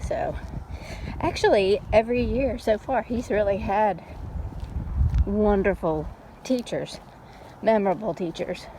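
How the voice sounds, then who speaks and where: Slow-talking, mellow woman chatting outdoors. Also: medium pitch, poor audio. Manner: unhurried, folksy, chatty. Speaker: storytelling vlogger and mother. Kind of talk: casual rambling chat. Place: outdoors, walking. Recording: steady background noise, outdoors on a walk.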